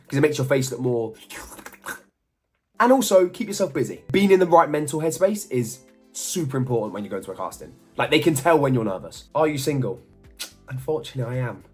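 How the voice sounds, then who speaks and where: Young guy rattling off answers fast, kinda husky voice, faint music underneath. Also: medium pitch, a little mellow. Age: 20s. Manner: chatty, self-mocking, jokey asides. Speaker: model and YouTuber. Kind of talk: casual Q&A vlog.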